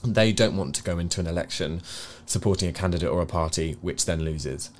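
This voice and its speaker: A man with an English accent speaks in a low register. He talks as a co-host on a news review, setting out his views earnestly. His delivery is conversational and explanatory, with careful hedging. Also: a little fast. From England. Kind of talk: conversational political discussion.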